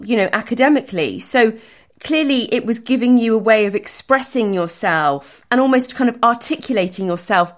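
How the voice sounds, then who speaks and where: A woman hosting an interview, responding in an encouraging and attentive way. Her voice sits a little higher than average. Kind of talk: conversational interview.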